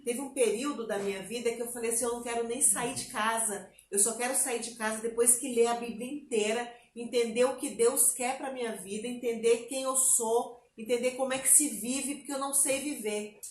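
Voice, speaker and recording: A woman's voice: fairly high pitch, fairly even intonation, brisk, few pauses, a little mellow, echoey room, a little hiss or hum. A Brazilian woman with a Bahian accent, telling her conversion story in a casual chat and acting out her own past words. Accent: Bahian Brazilian Portuguese. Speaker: interview guest. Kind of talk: personal testimony, casual chat.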